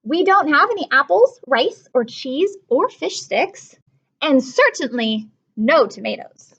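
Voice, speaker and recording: A woman voicing a clever, coaxing older brother in a storybook, expressive and mock-serious. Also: high-pitched, measured pace, lo-fi sound, heavily acted. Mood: playful coaxing.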